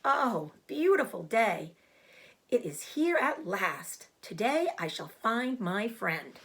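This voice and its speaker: A woman's voice: mid-range voice, sing-song, takes it slowly, fairly bright tone, exaggerated voice. A woman voicing a polite, earnest young male pig, anxious and hopeful, in a storybook reading. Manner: formal, earnest announcing. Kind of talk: storybook character voice.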